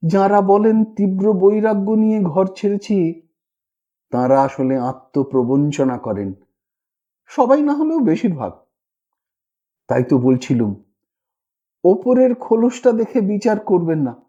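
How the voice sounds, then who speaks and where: A man voicing a gentle, wise, melancholy female wandering ascetic in a story, calm and softly admonishing. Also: sits high, measured pace, long pauses, muffled recording. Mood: wistful, reflective.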